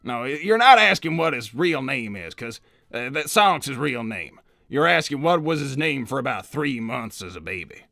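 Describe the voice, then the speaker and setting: Man playing a rambling, gravelly old cartoon uncle. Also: mid-range voice, big pitch swings, normal speed, bright, forceful tone, no room sound, clean studio sound, exaggerated voice. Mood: evasive, rambling. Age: older. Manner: hesitant, rambling, stammering, repeats himself. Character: old, rambling, evasive hedgehog uncle, a bit wistful. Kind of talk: improvised in-character interview.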